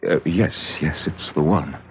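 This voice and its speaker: A man's voice: a bass voice, measured pace, darkish tone, rough recording. A man playing a sceptical, irritable husband in his thirties, sarcastic and dismissive, in 1970s radio drama style. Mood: flustered, shaken. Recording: hissy 1970s radio broadcast.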